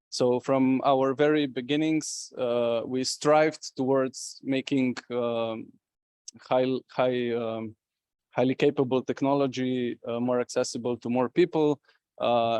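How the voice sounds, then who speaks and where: A man's voice: mid-range voice, a little mellow, no room sound. A man from Slovenia giving a conference presentation as a company representative, talking with many fillers and restarts.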